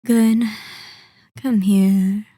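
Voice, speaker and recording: Woman playing a slow, menacing, seductive vampire villainess. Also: mid-range voice, steady tone, dark tone, husky, no echo, studio quality. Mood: commanding. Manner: slow, deliberate, seductively menacing, formal archaic diction. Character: sadistic, possessive centuries-old female vampire. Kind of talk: ASMR roleplay, theatrical villain monologue.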